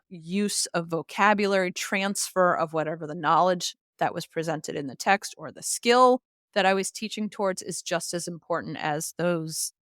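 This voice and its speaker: A woman speaks in a fairly low voice, recorded dry with very little room sound. She explains her points earnestly and articulately, in the style of an expert being interviewed. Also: a bit bright, dry recording. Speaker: literacy expert and author, podcast guest.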